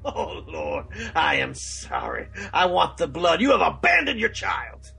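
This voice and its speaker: A man's voice: fairly high pitch, huge pitch swings, a little slow, gravelly, bright, forceful tone, slightly rough, heavily acted. A man playing a fervent, domineering preacher in a parody sketch, taunting and demanding confession in a theatrical way. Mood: sternly righteous. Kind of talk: movie-parody sketch acting.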